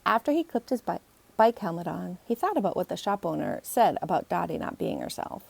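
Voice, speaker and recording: Animated woman reading a children's story. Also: fairly low pitch, a little fast, vocal fry. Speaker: author reading own book aloud. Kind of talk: expressive children's storybook narration.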